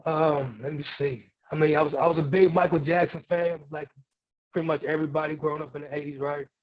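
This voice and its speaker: Male guest, forties, slightly high voice, laid-back slangy storytelling, tinny phone-like audio. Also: steady tone, quick pace, no room sound. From Germany and Missouri, USA. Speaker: guest, hip hop artist and producer. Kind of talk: casual interview chat.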